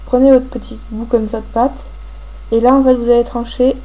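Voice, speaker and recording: A woman with a cold gives a casual, halting hands-on craft tutorial, thinking aloud as she works. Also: on the high side, flat delivery, warm tone, lo-fi sound. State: has a cold, coughing. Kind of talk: casual hands-on tutorial, talking while working. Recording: clearly noisy homemade recording with clicks.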